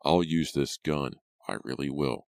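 Man as a very deep, menacing young kidnapper. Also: unhurried, creaky, noisy background, exaggerated voice. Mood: stern menace. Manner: stern, growling, clenched. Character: angry, threatening young male kidnapper with pistol.

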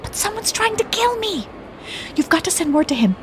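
An actress playing an anxious, earnest young widow on the run in a radio drama. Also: female voice, very high-pitched, sing-song, moderate pace, background noise. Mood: frightened, accusing.

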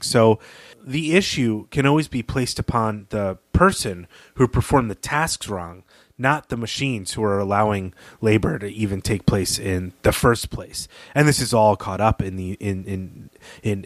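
A man's voice: medium pitch, dry recording. A man co-hosting a podcast, talking through a book in an academic, discursive, analytical way. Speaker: podcast co-host.

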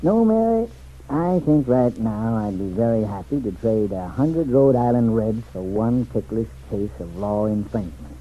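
An actor playing a folksy, weary and cautious small-town sheriff in a vintage radio serial, homespun and given to thoughtful hums. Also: male voice, on the low side, animated, normal speed, a little hiss or hum, natural voice. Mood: rueful. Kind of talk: 1940s radio serial acting. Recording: tinny, narrow vintage radio recording.

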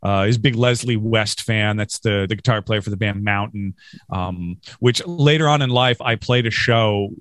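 A man's voice: fairly low pitch, normal speed, fairly bright tone, dry recording. A man from Western New York, chatting as a podcast guest in a rambling, self-deprecating way with frequent laughs. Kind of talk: casual interview chat.

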